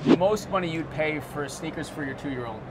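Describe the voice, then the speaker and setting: A man in his thirties hosting a casual interview, asking questions in an animated, conversational way. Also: on the high side, fairly quick. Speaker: interviewer, host. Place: sneaker shop showroom, indoors. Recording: clear background noise.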